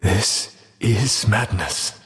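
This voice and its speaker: An actor playing an ancient, proud elven rebel mage burdened by regret in a video game, speaking in a grave, formal, measured way. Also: male voice, on the low side, lively intonation, a bit bright, in a large hall, full character voice. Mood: somber, pleading. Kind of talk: theatrical video game voice acting.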